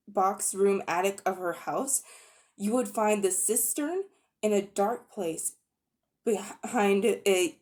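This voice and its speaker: A woman giving an expressive dramatic reading of a children's novel. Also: mid-range voice. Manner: expressive storytelling. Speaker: reader.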